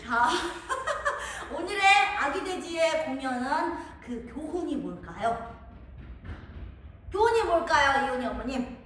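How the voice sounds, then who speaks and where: A woman leads a children's storytelling class in a sing-song, instructive tone. Her voice is very high and bright. She is picked up from some distance in a very echoey room, with faint noise. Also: sing-song, takes it slowly, pauses often. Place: live class room, indoors. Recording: roomy echo, distant mic, light noise.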